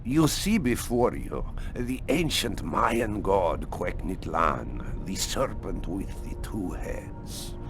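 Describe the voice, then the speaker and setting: An actor playing an elderly, excitable professor of Mayan history in a video game, with a gravelly voice and a theatrical, hesitant, word-savouring delivery. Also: male voice, deep voice, slow pace, hardly any pauses, full character voice. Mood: grave, lecturing. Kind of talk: dramatic video game acting. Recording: music behind the voice.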